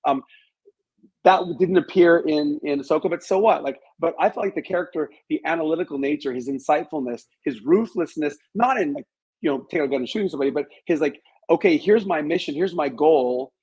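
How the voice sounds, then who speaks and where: A man's voice: fairly high pitch, a little fast, a little mellow, breathy edge, poor audio. A man talking as an interview guest, giving his opinions in an analytical, emphatic conversational way.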